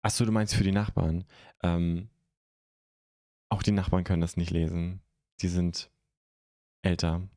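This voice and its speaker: A young man from Germany hosting a live stream, chatting casually and haltingly as he answers viewer comments into a close microphone. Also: low-pitched, little pitch movement, dark tone, no room sound. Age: young adult. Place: indoor streaming room at home. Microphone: close microphone on a stand.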